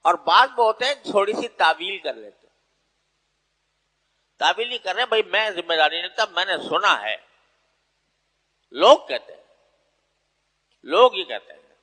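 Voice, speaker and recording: A man's voice: on the high side, fairly bright tone. A man preaching an Islamic moral sermon in a didactic, emphatic way, repeating phrases for stress. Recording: long hall reverb.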